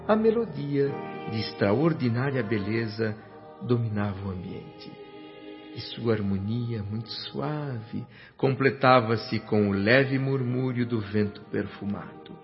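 An actor playing an earnest doctor recounting his afterlife in a radio drama, with measured, reverent, literary narration. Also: medium pitch, animated. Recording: dull radio-drama recording with music.

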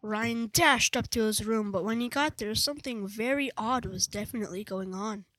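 High-voiced female story narrator. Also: normal speed, fairly bright tone, dry recording, low-quality recording. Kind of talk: scripted story narration for school readers' theatre.

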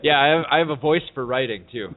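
A man hosting a casual fantasy football podcast live from an event. He talks fast, jokes around and keeps the talk moving with questions. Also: mid-range voice. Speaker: podcast host. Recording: phone-like narrow sound.

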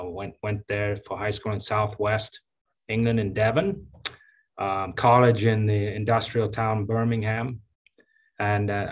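Relaxed, chatty man in his fifties. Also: on the low side. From Zambia. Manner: relaxed, chatty, with many fillers and restarts. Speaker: county engineer and public works director. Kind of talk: casual interview answers.

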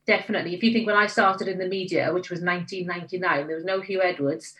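A Welsh woman in middle age, guesting on an interview podcast. Her voice sits a little low yet rings bright and forceful. She speaks frankly and stresses her points with conviction. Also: steady tone, fast, low-quality recording. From Wales. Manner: candid and emphatic. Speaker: magazine editor, guest.

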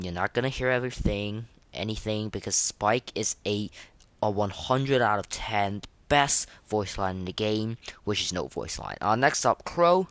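A man hosting a podcast in casual, slangy, excitable chat, reviewing game voice lines. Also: fairly low pitch, no room sound. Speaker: podcast host.

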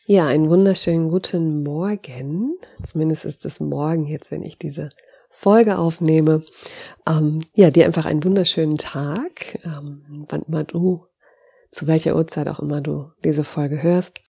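A woman podcast host and holistic coach with a cold, her voice a little hoarse and congested, talking gently and encouragingly with many fillers. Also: deep voice, fairly even intonation, unhurried. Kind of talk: podcast monologue.

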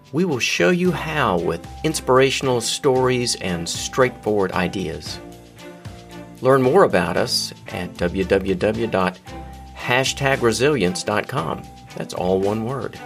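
A man's voice: on the low side, vocal fry, a bit bright. A man hosting a podcast, reading an upbeat, promotional intro and chatting with his guest. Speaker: podcast host.

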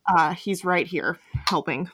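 A woman's voice: lowish voice, a little slow, a bit bright, a little hiss or hum. A woman hosting a casual podcast discussion, speaking in an enthusiastic, emphatic and playfully teasing way. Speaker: podcast host.

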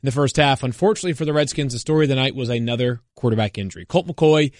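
A man's voice: medium pitch, rapid-fire, darkish tone, no room sound, clean studio sound. A man in his thirties co-hosting a sports podcast, teasing and wry. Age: 30s. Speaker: podcast co-host. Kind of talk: casual sports chat.

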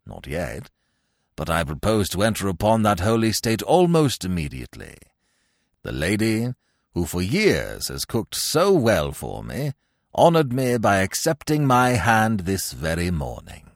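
This is a man portraying a sentimental old English gentleman in a comic storybook. The voice is low and pompous, with a solemn, grandiloquent delivery. The recording is dry and close. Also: wildly swooping pitch, a little slow, no echo, exaggerated voice. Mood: proud, defiant. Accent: British.

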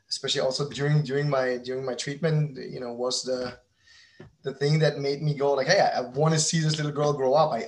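A man from Berlin with a German accent, telling his story conversationally as a podcast guest, often halting and repeating words. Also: fairly high pitch.

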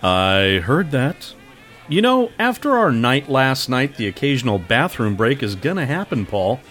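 A cheeky, boastful announcer type played by a man in a comedy audio drama. He is coy and teasing, with very animated intonation over faint music. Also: medium pitch, unhurried. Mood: coy, smug.

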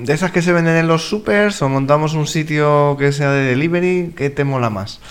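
A man's voice: on the high side, a little fast. A man with a Spanish accent from Spain, a radio collaborator chatting in an eager, colloquial way. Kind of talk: casual radio discussion.

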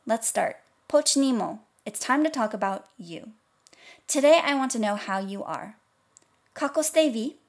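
A woman with a slightly higher voice hosts a scripted podcast lesson. She explains each phrase in plain terms, then repeats it slowly and carefully for learners. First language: English. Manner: clear, slow repetition of foreign phrases. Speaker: language podcast instructor.

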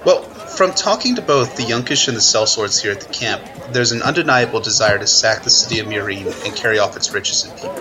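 A man's voice: fairly low pitch, animated, a little fast, few pauses, music in the background, lightly acted, low-quality recording. A man playing an analytical war correspondent at an army camp, explaining matter-of-factly in a radio news play. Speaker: guest correspondent. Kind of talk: radio news report roleplay. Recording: outdoor crowd ambience behind voice.

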